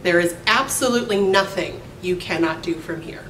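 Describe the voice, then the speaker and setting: An American woman in middle age gives a formal prepared speech at a measured pace of phrasing. She is some way from the mic in an echoey room. A faint rumble sits behind her. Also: a bit bright, far from the mic. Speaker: college faculty speaker. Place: indoor room at a podium.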